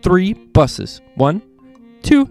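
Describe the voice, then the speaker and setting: A man hosting a children's read-along, reading picture books aloud in an animated way and speaking directly to young listeners. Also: high-pitched, very slow, faint music behind.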